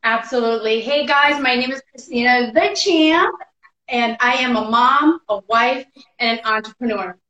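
A woman's voice: a little high, normal speed, pushed and bright. An American woman in her thirties, a fitness entrepreneur, chatting as a guest with many fillers over a video call. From United States. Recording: echoey, dull lo-fi call audio.

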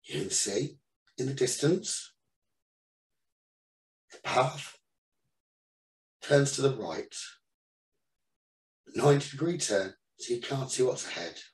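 A man leading a guided meditation as the teacher, giving soothing instructions in short phrases with pauses between them. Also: medium pitch, expressive. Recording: roomy echo, distant from the mic.

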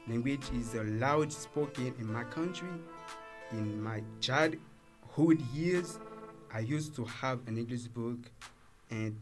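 Slow, careful young Haitian man. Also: medium pitch. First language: Haitian Creole. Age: young adult. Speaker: English learner guest. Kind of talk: reading a prepared personal story.

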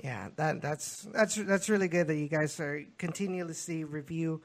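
A man's voice: on the high side, normal speed, vocal fry, very noisy. A man hosting a soccer show, interviewing a guest in a conversational, somewhat hesitant and wordy way.

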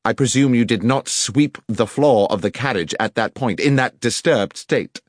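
A man voicing a sharp, commanding middle-aged detective who asks precise questions, in an audiobook reading. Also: medium pitch, moderate pace, dry recording, studio quality, voice pushed up, natural voice. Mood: probing. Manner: crisp, precise, probing questions.

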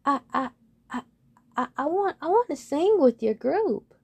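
A woman's voice: sits high, animated, measured pace, pitched above the performer's own voice. A woman voicing a shy but hopeful young male frog who stammers when nervous.

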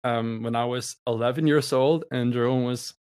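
A young man from Denmark, a musician guest on a remote call, upbeat and quick to laugh. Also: mid-range voice, fairly even intonation, darkish tone, clean tone, dry recording, studio quality. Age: young adult. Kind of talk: casual interview chat.

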